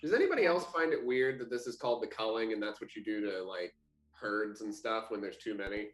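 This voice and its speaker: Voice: mid-range voice, lively intonation, fast, reverberant, soft background music. A tabletop player asking skeptical questions in character. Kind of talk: tabletop roleplay, questioning banter.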